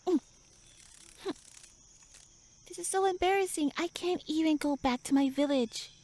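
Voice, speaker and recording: A woman's voice: high voice, moderate pace, dark tone, close to an everyday voice. A woman voicing a tiny, pouty tsundere elf with little wings, flustered, huffy and indignant, speaking to the listener in a roleplay audio drama. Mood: embarrassed, indignant.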